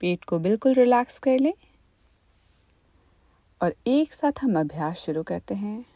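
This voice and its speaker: A woman leading a guided meditation as an instructor, in a calm, gentle, unhurried manner. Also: medium pitch, dry recording.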